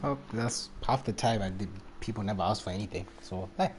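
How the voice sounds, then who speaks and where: A man's voice: lowish voice, a little fast, a little hiss or hum. A man doing casual live game commentary and chatting with viewers in a playful, rambling, reactive way. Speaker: game livestreamer.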